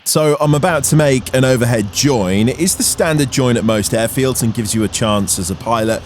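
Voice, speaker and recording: A man's voice: medium pitch, moderate pace, slight background noise. A man narrating an explanatory vlog as its host, in a voice-over style. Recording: music under the voice. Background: background music.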